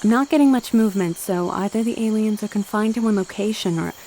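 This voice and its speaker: A woman's voice: medium pitch, fast talker, dark tone, a little hiss or hum, lightly acted. A woman playing an earnest, worried former librarian on a dangerous mission in an audio drama, frank and a little wry. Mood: serious, frank.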